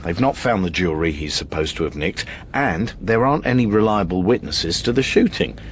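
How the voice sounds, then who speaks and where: A British actor playing a blunt, weary, sceptical police detective in a naturalistic radio drama. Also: male voice, deep voice, lively intonation, brisk. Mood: irritable. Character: grumbling, sceptical male police detective, uneasy about guns. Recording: faint to clear background noise.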